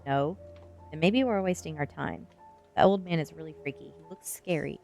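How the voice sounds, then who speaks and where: A woman's voice: deep voice, moderate pace, vocal fry, a little mellow, soft background music. Woman reading as an eager nerdy young boy. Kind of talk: storybook dialogue in an ASMR reading.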